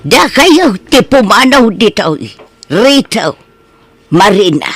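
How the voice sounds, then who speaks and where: A woman playing a stern, resolute old woman in an Ilocano radio drama, speaking slowly and reproachfully with an Ilocano accent. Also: mid-range voice, sing-song, a bit bright, husky, no echo, full character voice. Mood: firm, reproachful. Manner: slow, emphatic, reproachful. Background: background music.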